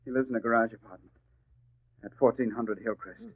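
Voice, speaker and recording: An actor playing a nervous, cornered crooked lawyer in a 1940s radio drama, defensive and jittery. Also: male voice, mid-range voice, steady tone, brisk, husky, lightly acted. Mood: panicked pleading. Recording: muffled, narrow-band old radio broadcast.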